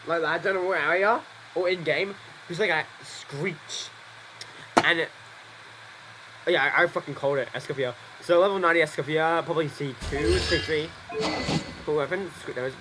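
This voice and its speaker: Excitable young man's gameplay commentary. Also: on the high side, a little fast, heavy background noise. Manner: excitable, rambling, swearing. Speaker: gaming streamer. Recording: voice over loud game audio. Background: loud game music.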